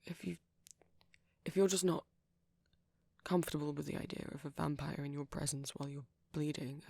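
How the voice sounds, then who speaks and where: A shy young vampire boyfriend, acted by a man speaks in a soft, husky near-whisper with a creaky edge. He is gentle and halting, full of tender apology. The sound is dry and intimate, in the manner of an ASMR roleplay. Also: on the high side, steady tone, no room sound. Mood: earnest, hesitant.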